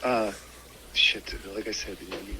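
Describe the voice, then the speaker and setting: An older American man calling in for a casual phone chat, halting as he searches for words. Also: lowish voice, a crowd in the background, low-quality recording. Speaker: caller, customer.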